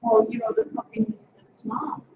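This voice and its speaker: A man's voice: very high voice, fairly even intonation, normal speed. A man in the audience telling a personal anecdote in a chatty, sing-song way. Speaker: audience member. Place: temple class room. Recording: distant, echoey, muffled, noisy room.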